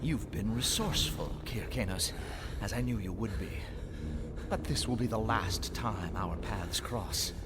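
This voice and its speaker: An actor playing an arrogant, scheming villain who would rule the galaxy, commanding and pompous, in a science fiction audio drama. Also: male voice, on the low side, a little slow, lightly acted. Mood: cold. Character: arrogant, scheming male villain, self-declared galactic ruler. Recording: loud music under the voice.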